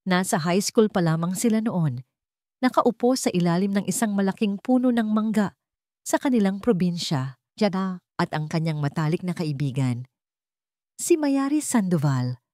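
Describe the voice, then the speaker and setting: A woman's voice: fairly low pitch, animated, moderate pace, mellow, no room sound, studio quality. A woman with a Filipino accent narrating a scripted dramatic story.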